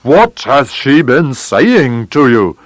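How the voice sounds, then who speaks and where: A man's voice: medium pitch, sing-song, measured pace, dry recording. A man playing a snarling, furious old villain. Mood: angry, demanding. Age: older. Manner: snarling, shouting, blustering. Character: furious, menacing old villain, huge and fierce. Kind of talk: audiobook character voice.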